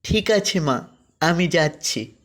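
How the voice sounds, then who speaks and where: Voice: medium pitch, expressive, takes it slowly, a bit bright. A meek hardworking foster boy. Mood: obedient.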